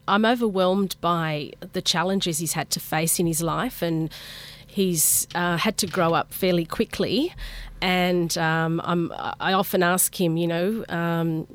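Low-voiced woman teacher in interview. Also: slow pace. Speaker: college teacher, interview guest. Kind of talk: conversational interview answers. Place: studio.

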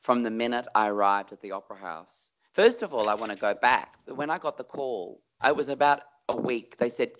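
A man's voice: medium pitch, expressive, brisk, few pauses, a bit bright, lo-fi sound. A middle-aged Australian man, a performer, talking reflectively in an interview and stretching words for emphasis.

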